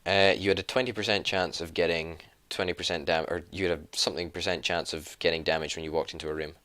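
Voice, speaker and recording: A man's voice: sits low, steady tone, fast talker, bright, forceful tone, no echo. A young Scottish man playing along in a gaming video, keen and self-deprecating in casual chat. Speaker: co-op gaming partner.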